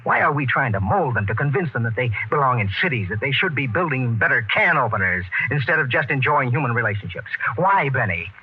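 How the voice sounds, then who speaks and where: An actor playing an earnest, brooding space expedition captain in a 1950s American radio drama, with an intense, probing delivery. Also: male voice, mid-range voice, very animated, fairly quick, few pauses, slightly rough. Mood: fervent, insistent. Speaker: actor and first-person narrator in radio drama. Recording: narrow, tinny 1950s radio recording with music.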